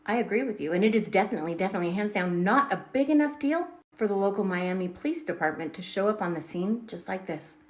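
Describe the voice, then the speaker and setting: A woman's voice: mid-range voice, expressive, quick pace, hardly any pauses. A woman giving dramatic storytelling commentary, emphatic and repeating words for effect. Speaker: online video commentator.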